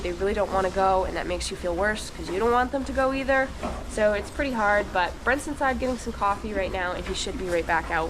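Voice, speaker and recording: A woman who works on a dairy farm, talking casually to viewers with a stuffy, congested voice from a cold. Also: mid-range voice. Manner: chatty, talks straight to viewers. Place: dairy barn, with calves and cows. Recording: noisy barn recording.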